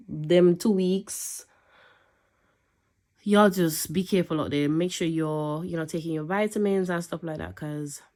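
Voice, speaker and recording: A young woman vlogger chatting casually to camera, still getting over a cold, telling her story with lively rhetorical questions. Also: low-pitched. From England. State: recovering from a cold, slightly congested.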